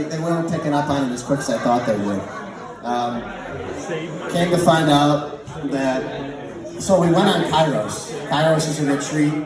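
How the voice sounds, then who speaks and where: Young male amateur comic, slightly high voice, loose anecdotal delivery, recorded from far off in a very echoey room. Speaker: amateur stand-up storyteller. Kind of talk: rambling anecdotal stand-up comedy storytelling. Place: large echoey indoor space with live audience. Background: audience laughter and chatter.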